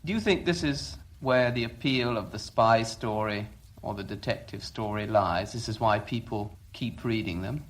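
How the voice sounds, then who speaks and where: A man's voice: lowish voice, normal speed. A man working as an interviewer, putting questions in a formal interview style.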